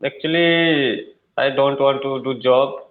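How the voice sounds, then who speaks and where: A man in his twenties from northern India with an Indian accent, a native Hindi speaker practicing English in halting sentences with many fillers over a video call. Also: medium pitch, fairly even intonation, echoey room. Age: 20s. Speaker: English learner. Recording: tinny phone-like call audio.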